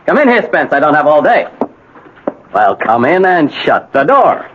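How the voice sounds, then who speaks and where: An actor playing a brisk, bossy doctor, blunt and authoritative, in a radio drama. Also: male voice, on the high side, very animated, noisy background. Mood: brusque. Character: brisk, bossy male doctor and old friend. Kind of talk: 1980 radio drama acting. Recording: muffled old radio recording.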